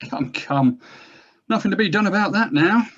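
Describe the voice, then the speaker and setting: Guy doing gleeful old doctor, swoopy husky voice, kinda dismissive, muffled lo-fi mic. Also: sits high, animated, moderate pace, fairly bright tone. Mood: brisk, dismissive. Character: pensive mid-sixties male doctor with disconcerting glee. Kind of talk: table read of a scripted audio drama. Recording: dull, muffled lo-fi microphone.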